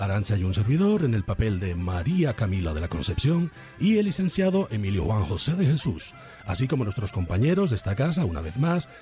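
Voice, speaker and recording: A man's voice: medium pitch, warm tone. A man presenting and narrating on radio in a theatrical, tongue-in-cheek, mock-dramatic way. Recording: faint music under the voice. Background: music.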